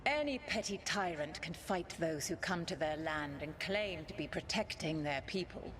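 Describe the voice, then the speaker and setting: A woman playing an ancient, proud elven goddess spirit in a video game, speaking formally and with haughty, deliberate command. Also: deep voice, lively intonation, fairly bright tone, a little hiss or hum. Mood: imperious, challenging. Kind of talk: dramatic video game acting.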